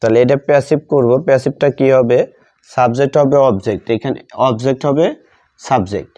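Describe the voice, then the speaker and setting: A man with a Bengali accent teaching a grammar lesson step by step, halting and repeating phrases in short fragments as he writes. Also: mid-range voice, dark tone. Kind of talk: step-by-step grammar lesson, spoken while writing. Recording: dull lo-fi sound.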